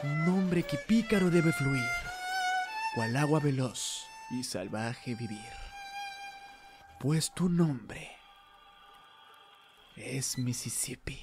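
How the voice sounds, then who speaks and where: A man's voice: mid-range voice, expressive, drawn-out words, pauses often. A man voicing a flamboyant, mischievous young cat bootlegger and poet in a cartoon dub, theatrical and teasing, with a Mexican Spanish accent. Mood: grandiose, poetic. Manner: grandiloquent, teasing. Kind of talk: theatrical animated fandub. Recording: music behind the voice.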